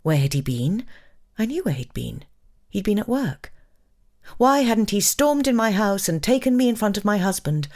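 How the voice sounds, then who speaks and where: A woman's voice: mid-range voice, very animated, moderate pace, darkish tone. An actress playing a lovestruck, brazen married woman, giving an intimate, wistful dramatic reading of a forbidden romance. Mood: yearning. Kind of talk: dramatic first-person audiobook-style reading.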